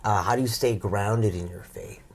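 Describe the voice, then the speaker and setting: A man hosting an interview, a comic himself, asking questions in a halting way with repeated words. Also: fairly low pitch, gravelly, a little hiss or hum. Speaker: talk show host and comedian. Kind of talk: casual interview.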